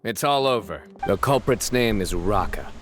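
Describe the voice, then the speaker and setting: A man playing a stern, perceptive young lawman in a video game, speaking in a measured, commanding, deadpan way. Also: on the low side, natural voice. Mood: accusing. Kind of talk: video game character acting. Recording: music behind the voice.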